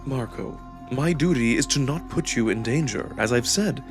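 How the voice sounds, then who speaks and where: A man voicing a suave young jackal prince who speaks in a measured, smug, theatrically polite way. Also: mid-range voice, expressive, music in the background, lightly acted. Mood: measured, cautioning.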